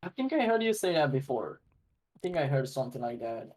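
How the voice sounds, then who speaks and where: A laid-back man co-hosting a podcast, chatting easily in slang. His mid-pitched voice carries a slight husky edge. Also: sing-song, brisk, a little mellow. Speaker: podcast co-host. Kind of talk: casual podcast chat.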